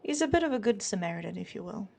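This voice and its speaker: A woman playing a composed, guarded Old West photographer and fixer in improvised roleplay, speaking in a measured, careful way as a non-native English speaker. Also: mid-range voice, sing-song, creaky, dark tone. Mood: measured, hesitant. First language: not English. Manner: measured, careful word choices.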